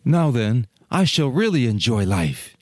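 A man's voice: medium pitch, very animated, measured pace, a little mellow, dry recording, studio quality. A man playing a wistful fir tree in an audio storybook, with a sighing, old-fashioned way of speaking. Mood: hopeful. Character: wistful fir tree, regretful yet eager, male.

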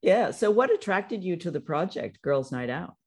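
A woman's voice: on the low side, very animated, normal speed, a little mellow. A woman hosting a podcast and interviewing a guest. She is chatty and laughs easily. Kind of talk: casual interview.

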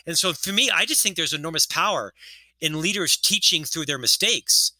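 Fifties male author who stutters, earnest guest, high bright voice. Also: lively intonation, normal speed, no echo. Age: 50s. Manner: earnest storytelling, lectures with statistics. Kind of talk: casual interview talk.

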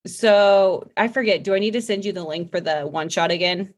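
Joking woman co-hosting, medium pitch. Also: moderate pace. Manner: self-deprecating, joking. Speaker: podcast co-host. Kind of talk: casual chat.

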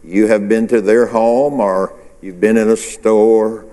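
A man's voice: fairly low pitch, fairly even intonation, slow, dark tone, big-room sound. A male pastor preaching a sermon, asking the congregation questions and answering them himself. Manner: preacherly call-and-response, rhetorical questions.

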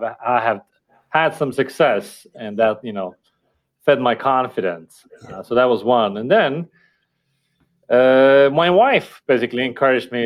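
A man whose first language is Estonian, a podcast guest speaking thoughtfully with fillers and restarts. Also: lowish voice. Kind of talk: casual interview talk.

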